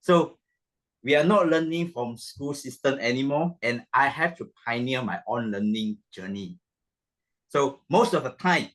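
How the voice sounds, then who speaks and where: Slow, halting man at a panel. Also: mid-range voice, fairly bright tone, rough recording. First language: not English. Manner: slow, halting, with repeated phrases. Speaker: panel speaker. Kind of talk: prepared panel talk. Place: club meeting room.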